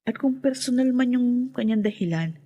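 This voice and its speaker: An actress playing a puzzled, inquisitive daughter in a radio drama, sounding slightly affronted. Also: female voice, fairly high pitch, expressive, normal speed, warm tone, highs cut off. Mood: puzzled, miffed.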